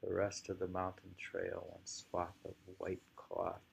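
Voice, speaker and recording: Man, low creaky voice, unhurried poetry reading and casual musing, long pauses, faint background noise. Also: completely flat delivery, rough recording. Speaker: poetry reader and commentator. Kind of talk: slow poem reading with casual musing commentary.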